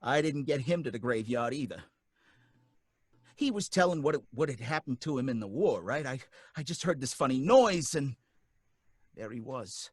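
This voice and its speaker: An actor playing a jittery working-class cab driver in an old-time radio drama, pleading and excitable with folksy slang. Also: male voice, mid-range voice, moderate pace, husky, dry recording, studio quality. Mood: rattled recounting.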